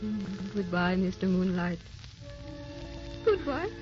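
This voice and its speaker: An actress playing a gentle, youthful woman with a secret sorrow, tender and wistful, in old-time radio drama style. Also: mid-range voice, lively intonation, takes it slowly. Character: youthful, gentle, secretly sorrowful wife posing as a distant cousin. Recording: dull old radio recording, music behind.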